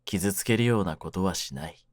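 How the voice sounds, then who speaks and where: A man playing a menacing young mafia kidnapper in an intimate voice drama, speaking low with rough, smug, teasing words. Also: moderate pace, no echo, studio quality, natural voice. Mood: cool, teasing. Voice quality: low-pitched, hushed at menacing moments. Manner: rough masculine speech, smug mock politeness. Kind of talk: ASMR situation voice drama, intimate one-sided roleplay.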